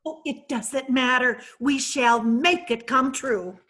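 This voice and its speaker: A woman's voice: sits high, slow pace, a bit bright, heavily acted. A woman reading the part of a carefree, coquettish young woman who talks in an airy, assured, playful way. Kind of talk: readers theatre reading.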